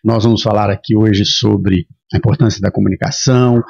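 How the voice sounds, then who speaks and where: Male podcast host, middle-aged, creaky mid-pitched voice, chatty interviewing, slightly dull audio. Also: steady tone, brisk, darkish tone. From Minas Gerais, Brazil. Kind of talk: casual interview chat.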